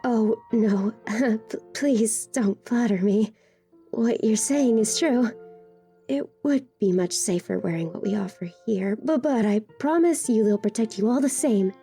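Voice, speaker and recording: A woman's voice: on the high side, measured pace, warm tone. A woman playing a timid, courteous village seamstress who stammers, in a fan audio drama. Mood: flustered, shy. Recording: music under the voice.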